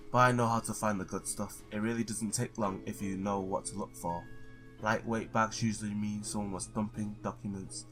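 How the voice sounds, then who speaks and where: A man's voice: fairly low pitch, steady tone, moderate pace, fairly bright tone, faint music behind. A man doing a let's play, reading visual novel text aloud, stumbling now and then and blurting his own reactions.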